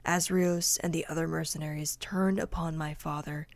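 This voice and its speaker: A woman's voice: deep voice, fairly even intonation, normal speed, dry recording. A woman playing a haughty, vengeful royal heir and swordmaster, speaking formally in a fantasy audio drama. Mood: grave, bitter.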